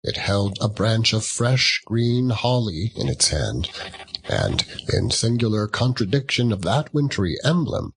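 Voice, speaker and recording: A man's voice: on the low side, lively intonation, unhurried, husky, rough recording. A man narrating a classic story as a dramatic audiobook reading.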